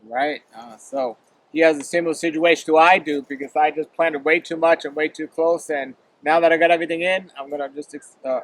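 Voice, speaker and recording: A male interviewer hosting a casual chat in a garden, asking quick follow-up questions with frequent ums, recorded outdoors. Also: a little high, steady tone, a bit bright, poor audio. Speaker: interviewer, channel host. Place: outdoors in a yard.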